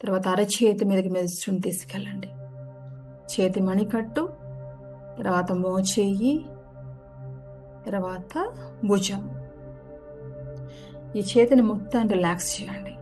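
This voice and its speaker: A woman gently guides a relaxation meditation, giving unhurried step-by-step instructions. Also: medium pitch. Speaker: yoga nidra guide. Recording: music behind the voice. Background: background music.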